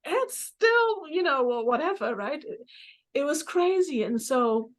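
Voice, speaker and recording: Higher-voiced middle-aged woman. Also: a little slow. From Germany, born in Poland. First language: German. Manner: animated, acts out remembered dialogue. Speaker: interview guest, therapist. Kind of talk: casual personal storytelling.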